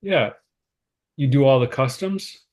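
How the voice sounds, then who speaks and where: A man playing an anxious, straight-laced sceptic in a casual table read. His voice is mid-pitched, and he comes across as polite but easily exasperated. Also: measured pace, darkish tone, close to an everyday voice. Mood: awkward curiosity.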